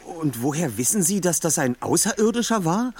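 A man playing a confident, bossy teenage detective in a German radio play, deliberate and commanding. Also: on the high side, big pitch swings, a little mellow, breathy edge. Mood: puzzled, questioning.

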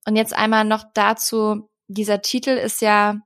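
A woman from the Rhineland hosts a podcast interview and talks hesitantly, with plenty of fillers. Her pitch stays level and flat, with little rise or fall. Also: measured pace. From Rhineland, Germany. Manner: hesitant, many fillers, even delivery. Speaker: podcast host.

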